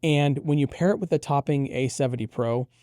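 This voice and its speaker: A man's voice: mid-range voice, a little fast, warm tone, dry recording, clean studio sound. A man co-hosting an audio gear preview, giving a casual rundown of products.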